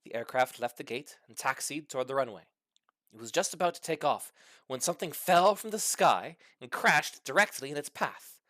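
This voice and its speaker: A man's voice: a little high, expressive, normal speed, fairly bright tone, no room sound. A man reading a comic short story aloud with mock-serious, dramatic delivery. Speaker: story reader.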